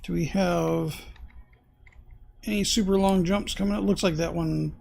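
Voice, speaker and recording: A man streaming a space game, thinking aloud in casual commentary, hoarse and losing his voice, often clearing his throat. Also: sits high, steady tone, a little slow, a little mellow, a little hiss or hum.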